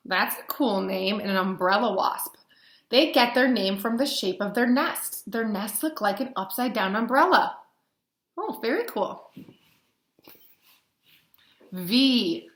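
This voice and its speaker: A woman in her thirties reading a picture book aloud as a teacher, animated and explaining things simply to young children. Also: medium pitch. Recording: roomy echo.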